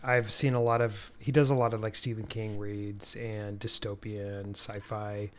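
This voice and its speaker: A man co-hosting a podcast in casual chat, talking in an easygoing, measured way. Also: on the low side, level tone, a little hiss or hum. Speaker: podcast co-host.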